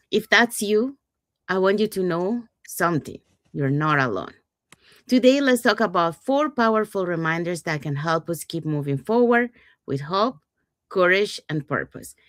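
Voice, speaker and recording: A woman hosts a live online talk show, reading scripted lines and thanking her guests in turn. Her voice sits on the low side, and the sound is dry and close, with no room echo. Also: fairly bright tone. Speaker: host.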